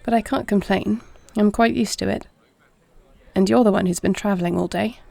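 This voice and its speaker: Woman performing a steadfast warrior princess, heavy vocal fry, mellow and soft, caring, measured, earnest, close dry sound, intimate audio roleplay. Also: mid-range voice, fairly even intonation, no echo. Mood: caring, gently insistent. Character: battle-weary warrior princess, dragon shifter, caring and steadfast.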